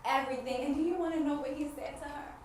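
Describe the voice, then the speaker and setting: A high-voiced woman heard from far off in a very echoey hall with faint noise. She is an actress playing a devout wife, anguished yet hopeful, delivering an emphatic theatrical monologue to the audience with dramatic pauses. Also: steady tone, natural voice. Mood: awed, earnest. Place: stage play in a large echoey room.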